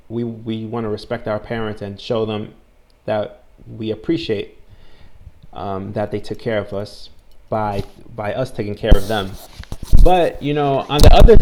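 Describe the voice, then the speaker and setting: A man in his twenties tutoring English in a casual online conversation, talking loosely with lots of "like" and "you know". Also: fairly low pitch. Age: 20s. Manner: relaxed, heavy use of "like" and "you know", frequent restarts.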